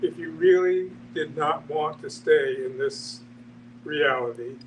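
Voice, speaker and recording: A man with a slightly high voice talks in an informal group discussion, some distance from the microphone over a clear hum. He is halting, often reworks his phrases and voices questions as if asking them. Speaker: discussion participant. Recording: distant mic with noisy hum.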